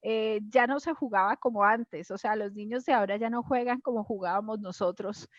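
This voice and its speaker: A woman's voice: medium pitch, a little fast, no room sound, muffled recording. A middle-aged woman with a Colombian accent, reminiscing casually in conversation. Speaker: interviewee sharing childhood memories.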